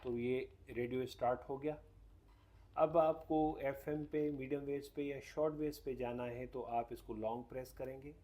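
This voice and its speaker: A man's voice: mid-range voice, fairly even intonation, moderate pace, warm tone, a little hiss or hum. Man casually demonstrating a gadget. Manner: explains step by step with English technical terms mixed in. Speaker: radio reviewer. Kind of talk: casual hands-on product demonstration.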